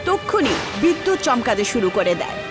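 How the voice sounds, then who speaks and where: High-pitched woman narrating, music underneath. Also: big pitch swings, brisk. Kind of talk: scripted cartoon story narration.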